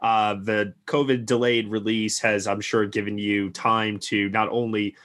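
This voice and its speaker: A man's voice: lowish voice, flat delivery, measured pace, a bit bright. A man hosting a film podcast interview, asking long, friendly questions in an engaged manner.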